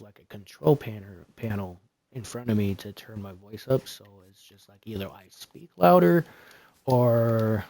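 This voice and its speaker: A soft-spoken man with a fairly low voice talks slowly and loosely through a gaming livestream. He rambles along, filling the gaps with ums and uhs. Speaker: streamer. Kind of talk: casual game commentary.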